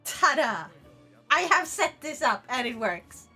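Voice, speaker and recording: Bright high-voiced Swedish woman streaming. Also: sing-song, slow, soft background music. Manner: chatty and playful, talking to chat while playing. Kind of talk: casual gaming livestream chat.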